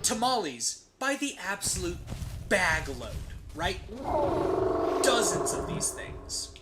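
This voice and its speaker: Streamer spinning a story, lively pitch, slow dramatic pacing, sweary, casual. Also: heavy background noise. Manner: profane, drawn-out dramatic pauses with rhetorical tags. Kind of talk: casual comedic storytelling during game play.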